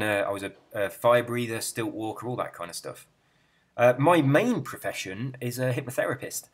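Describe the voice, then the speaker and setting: A middle-aged man chatting casually, rambling and easily sidetracked as he browses a website, with a soft, relaxed way of speaking. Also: mid-range voice, breathy edge. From England. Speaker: YouTuber and hypnotist.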